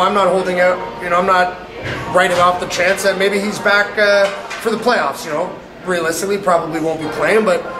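A man in his thirties, a hockey head coach, answering post-game media questions in halting, repetitive phrasing. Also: sits high, roomy sound. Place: indoors in a small media room before a sponsor backdrop.

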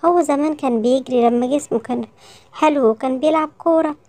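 A woman's voice: sits high, fast, warm tone, close to an everyday voice. A woman voicing a caring, fretful mother lioness with an Egyptian accent in a children's cartoon story, admonishing and repeating words. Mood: concerned, attentive.

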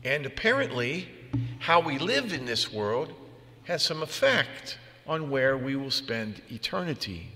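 Priest preaching in a long echo. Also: male voice, medium pitch, big pitch swings, measured pace, pushed and bright. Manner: conversational, plain-spoken, rhetorical questions. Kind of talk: homily with personal anecdotes. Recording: long reverb of a large room.

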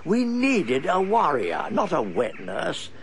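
A bluff older English political confidant in a radio play. The voice is gravelly and lively in pitch, blunt and teasing, over faint background ambience. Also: male voice, takes it slowly, slight background noise, heavily acted. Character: shrewd, bluff old golfing chum and political confidant. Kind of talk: radio drama acting.

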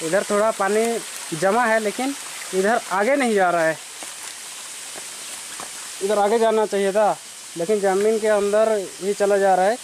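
A young man from Odisha, India, vlogging excitedly as he hikes through a forest to a waterfall, filming on a phone at arm's length. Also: high-pitched. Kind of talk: casual vlog narration while hiking. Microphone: phone held at arm's length. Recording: noisy outdoor phone recording. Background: rushing water.

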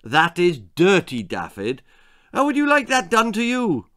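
A man's voice: sits high, huge pitch swings, unhurried, a bit bright, voice pushed up, heavily acted. A man voicing an exasperated mother in a children's story, scolding shrilly. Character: exasperated scolding Welsh mother of a naughty boy.

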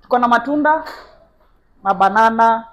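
A woman's voice: a little high, moderate pace, fairly bright tone. Friendly young Kenyan woman guiding a gym tour. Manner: friendly, matter-of-fact pointing out equipment. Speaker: gym staff guide. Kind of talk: guided tour in mixed Swahili and English. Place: large indoor gym. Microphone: lapel mic.

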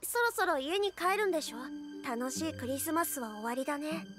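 A downcast young girl in a children's cartoon. Her high voice is plaintive and subdued, with clear music playing behind it. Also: animated, fairly quick. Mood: sad, resigned. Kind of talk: dubbed children's cartoon acting.

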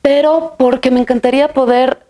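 A woman's voice: high-pitched, steady tone, normal speed, mellow. A woman in her thirties from Bolivia, a filmmaker and writer, answers interview questions thoughtfully, often restarting her phrases and ending them with a questioning tag. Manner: thoughtful, many restarts and fillers, ends phrases with "¿no?". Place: bright room with a plain wall, seated in an armchair.

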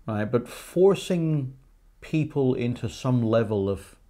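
Halting middle-aged man guest. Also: medium pitch, lively intonation, mellow. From Hong Kong. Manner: halting, repeats words, dry teasing humor. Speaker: guest, the host's husband. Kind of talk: casual chat. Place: indoor studio set with a painted brick wall.

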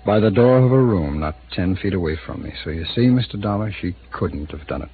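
A man's voice: low voice, lively intonation, brisk, husky, heavily acted. An actor playing a guarded, evasive male city attorney who hesitates and hints, in a 1950s radio drama. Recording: tinny lo-fi old radio broadcast with hiss.

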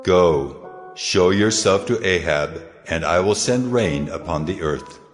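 Deep, reverberant voice of God. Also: male voice, expressive, takes it slowly. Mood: commanding. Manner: solemn, commanding. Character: commanding male God speaking to his prophet. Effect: long reverb. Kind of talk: dramatized audio Bible acting. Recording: long reverb, music underneath.

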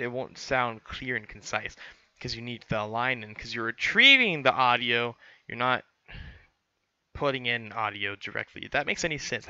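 A man's voice: medium pitch, sharp tone, smooth, no echo, lo-fi sound. Young man rambling through a how-to. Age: young adult. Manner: rambling, many fillers and restarts. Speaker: student pilot vlogger. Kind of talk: casual how-to explanation. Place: small room indoors. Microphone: headset boom mic.

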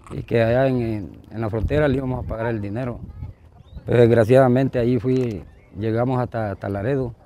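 A middle-aged man from Honduras, giving a personal testimony outdoors beside railway tracks. He talks haltingly, often repeating and restarting his words, recorded by a close handheld camera. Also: mid-range voice, warm tone, a little hiss or hum. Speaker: migrant interviewee.

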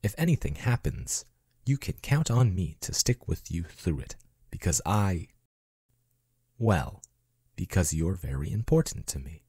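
A man acts out a devoted AI companion in an ASMR roleplay. His voice is very low, mellow and husky, with lively swings in intonation. He reassures tenderly and earnestly, with a possessive streak. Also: unhurried, no room sound, clean studio sound, exaggerated voice. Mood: tender, encouraging. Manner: soothing, earnest, affectionate reassurance. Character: devoted male chatbot robot companion, tender, possessive, falling in love.

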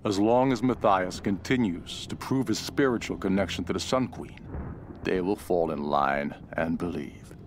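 A man's voice: low-pitched, moderate pace, slight background noise, natural voice. An actor playing a ruthless, cynical cult henchman and survivor, narrating a video game journal in a grim, world-weary way. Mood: bleak, resigned. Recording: music behind the voice.

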